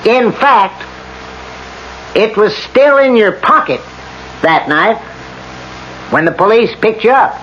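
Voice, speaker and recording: An actor playing a dry, methodical lawyer who questions with pointed skepticism in a radio drama. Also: male voice, high voice, expressive, takes it slowly, pauses often, fairly bright tone, exaggerated voice. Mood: measured skepticism. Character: dry, methodical male lawyer cross-examining a witness. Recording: muffled, noisy old radio broadcast.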